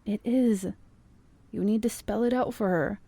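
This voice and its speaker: A woman playing a calm, wry young woman. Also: medium pitch, measured pace, gravelly, no room sound. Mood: soothing. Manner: soothing, wry. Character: calm, teasing young woman, terminally ill. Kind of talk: fan audio drama acting.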